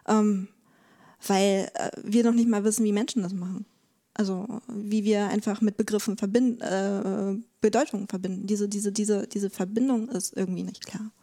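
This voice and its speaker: Female expert interviewee, soft mellow tone, little melodic movement, thinks aloud with repeated words. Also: mid-range voice, fairly even intonation. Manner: explanatory, halting, repeats words while thinking. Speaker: podcast guest, legal expert.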